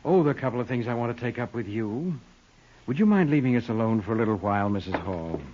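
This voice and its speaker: A man's voice: medium pitch, expressive, fast talker, noisy background, natural voice. An American actor playing a composed, wry racketeer boss who chooses his words politely, in an old radio crime drama. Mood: coolly threatening. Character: suave, composed racketeer boss with veiled threats. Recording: muffled old radio recording.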